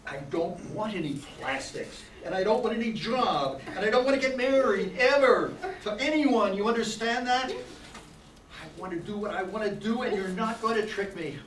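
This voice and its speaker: A man's voice: high voice, expressive, normal speed. A man playing a stammering, impassioned young man in love in an amateur radio play. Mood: angry. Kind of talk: amateur old-time radio play acting. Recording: echoey distant room, faint noise.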